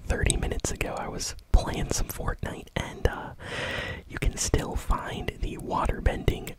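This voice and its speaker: An ASMR gaming news host who speaks entirely in a whisper. The delivery is breathy, soft and informal, feeling its way through the commentary with a hesitant, conversational manner. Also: very high-pitched, low-quality recording.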